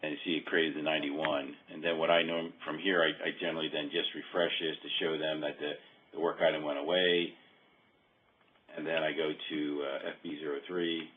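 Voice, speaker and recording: A man giving an informal software walkthrough, explaining in a halting, hesitant way. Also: extremely low pitch, level tone, slight background noise. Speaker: software demonstrator. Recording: dull phone-like conference-call audio.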